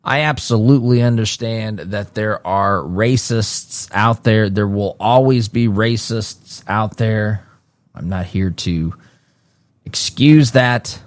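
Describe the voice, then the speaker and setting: A lower-pitched man talking through a news article in a casual solo commentary. He reads a passage, then drifts into conversational asides, restarting phrases as he goes. The sound is close and dry with no room around it. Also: slow pace, dry recording. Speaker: political commentary host.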